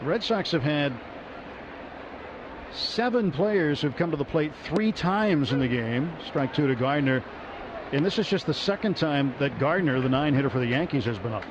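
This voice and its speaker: Baseball radio play-by-play man. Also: on the high side. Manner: brisk, rising excitement on big plays. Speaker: play-by-play announcer. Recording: muffled radio broadcast with noise.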